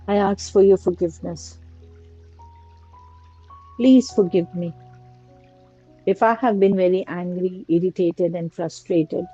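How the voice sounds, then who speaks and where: A woman slowly guiding a prayer and meditation in a soft, repetitive litany of blessings. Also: lowish voice. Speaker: meditation guide. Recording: dull lo-fi recording with faint music. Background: faint music.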